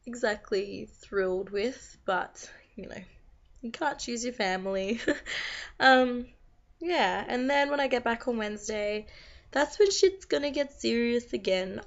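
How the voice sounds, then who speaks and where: A young Australian woman with a croaky voice from being sick, chatting to camera in a tired, rambling, venting way. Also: medium pitch, a bit bright. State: croaky from a long cold. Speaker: vlogger. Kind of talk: casual chat to camera. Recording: slightly dull lo-fi recording.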